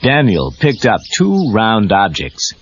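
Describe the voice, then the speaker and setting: A man's voice: lowish voice, huge pitch swings, slow pace, a crowd in the background. A man narrating a scripted read-along story for children as the storyteller. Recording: muffled lo-fi read-along cassette tape.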